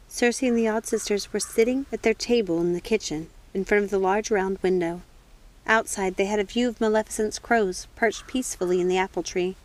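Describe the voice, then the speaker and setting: A woman's voice: medium pitch, darkish tone, rough recording. A woman narrating a novel as a storybook reader and host. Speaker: audiobook reader and channel host.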